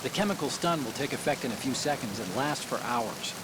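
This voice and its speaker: A man plays an earnest young engineer briefing a soldier by radio in a video game. He talks through details in an eager, explanatory way at a middle pitch. Loud rain noise fills the background. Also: expressive, brisk, natural voice.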